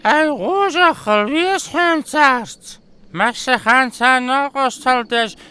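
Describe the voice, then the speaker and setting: A man voicing an unhurried male cartoon character in a dub with some background noise. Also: extremely high pitch, sharp tone, smooth, heavily acted. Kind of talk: cartoon dubbing.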